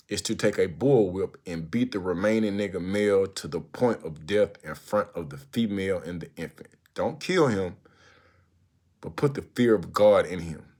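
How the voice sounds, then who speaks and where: Guy with a lowish voice reciting an old speech, deliberate and emphatic, lots of pauses. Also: darkish tone. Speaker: narrator reading a historical speech aloud. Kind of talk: recited speech read aloud.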